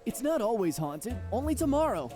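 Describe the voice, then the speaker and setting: Man playing teen boy pilot, dramatic, slangy, joking slacker, very animated, visual novel game, music behind. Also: extremely high pitch, moderate pace, voice pushed up. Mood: spooky, serious. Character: dramatic, excitable teenage boy pilot Sho, jokey slacker buddy. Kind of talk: visual novel character dialogue.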